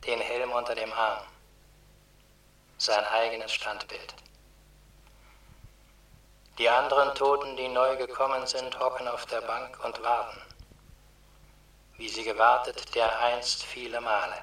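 In an echoing space, a stern underworld gatekeeper, set back from the microphone. The delivery is solemn and imperious, hard-edged and very even, as in a radio play. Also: male voice, medium pitch, distant mic. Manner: slow, measured, imperious. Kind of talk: solemn radio drama declamation.

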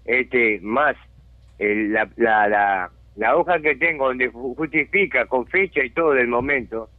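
A man's voice: mid-range voice, measured pace, no echo. Uruguayan man, community spokesman, insistent and indignant, phone-quality sound. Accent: Uruguayan Spanish. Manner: insistent, indignant, checks understanding often. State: has a chronic lung disease. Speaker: settlement resident and community spokesman. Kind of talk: phone interview on radio. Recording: dry tinny phone line.

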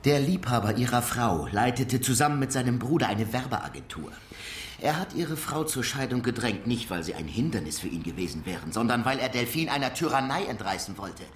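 An actor playing a brisk, sardonic police investigator in a German radio crime drama, asking clipped, pressing questions. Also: male voice, medium pitch, expressive, close to an everyday voice. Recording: roomy echo, faint background noise.

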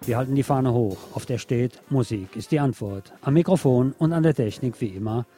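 A man hosting a late-night radio show, delivering a literary scripted monologue in a deliberate, poetic storytelling way. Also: medium pitch. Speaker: radio host. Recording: music playing under the voice. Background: music.

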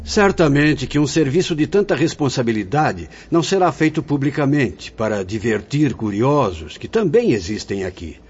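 A man's voice: mid-range voice, sing-song, moderate pace, hardly any pauses, a little mellow, breathy edge. A Brazilian man playing a wise, fatherly spirit director in a radio drama, speaking in a solemn, formal, preaching way. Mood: fatherly, exhorting. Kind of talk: Portuguese-language radio drama acting. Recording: dull lo-fi radio drama audio.

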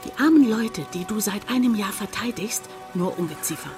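A woman plays a bitter village innkeeper in a radio drama. Her mid-pitched voice is intense and reproachful, with a pleading edge. Music plays faintly underneath. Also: expressive, normal speed, darkish tone, natural voice. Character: bitter, pleading female village hotel owner. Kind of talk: German radio drama acting.

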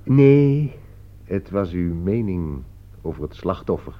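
A shrewd mystery writer with a deep voice and dry, wry delivery. His intonation moves around a lot. It is a radio play on a dull old recording. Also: big pitch swings, slow pace, full character voice. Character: dryly witty male detective-story writer, shrewd and sardonic. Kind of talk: radio play acting in Dutch.

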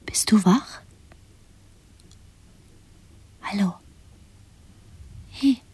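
A woman's voice: medium pitch, slow pace, a little mellow, slightly rough, dry recording. A woman playing a tender, pitying figure in a radio drama, speaking in a soothing, hesitant way. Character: tender, pitying woman hovering over a sufferer. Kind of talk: 1970s German radio drama acting.

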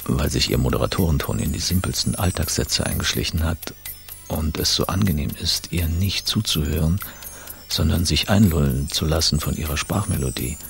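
A smug cosmetic surgeon, besotted and ironic, in a radio play monologue. His voice is extremely low and full of gravel. He savours each phrase, with faint music underneath. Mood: drowsy, contented. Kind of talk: radio drama interior monologue.

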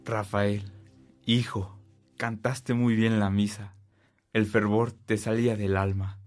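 A proud godfather in a radio play. His voice is fairly low and very slow, full of affection. Faint music sits behind it. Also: flat delivery. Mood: proud, affectionate. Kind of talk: radio drama acting.

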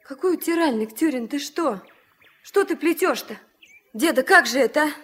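A woman's voice: high-pitched, lively intonation, normal speed, fairly bright tone. An actress playing an impulsive, emotional and headstrong young girl, a skipper's granddaughter, in a 1970s Soviet radio drama. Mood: distressed, indignant. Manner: urgent, emotional questioning.

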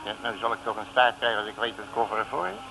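An actor playing a boastful, muttering, self-important detective in a 1960s Dutch radio serial, with theatrical exclamations. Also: male voice, on the low side, quick pace, vocal fry. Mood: grumbling, puzzled. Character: boastful, blustering male detective with a nose for money. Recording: noisy old radio recording.

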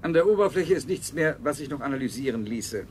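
An actor playing a clinical scientist in a science fiction radio play, formal and matter-of-fact. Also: male voice, mid-range voice, lively intonation, a little fast, darkish tone, natural voice. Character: clinical, formal male scientist leading survivors. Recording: faint noise, 1970s radio production.

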